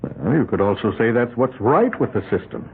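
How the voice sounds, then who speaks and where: An actor playing a courteous, unruffled gentleman lawyer in an old radio drama, polite and measured with slight hesitations. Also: medium pitch, animated, phone-quality sound, close to an everyday voice. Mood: reasoned, calm. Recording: thin, band-limited old radio recording with hiss.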